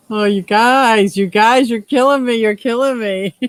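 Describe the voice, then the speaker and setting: A woman in her sixties with a deep voice hosts a casual stream, laughing easily and gushing over comments. Her voice lands high in its register, recorded close and dry with no room sound. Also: a little slow, no echo. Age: 60s. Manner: giggly, laughs often, gushing and playful. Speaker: livestream host. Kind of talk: casual chat reading viewer comments.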